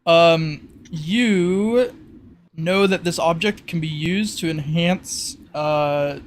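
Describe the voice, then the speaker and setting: Male game master, slightly high voice. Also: very slow, a little hiss or hum. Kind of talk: narrating a tabletop roleplay game.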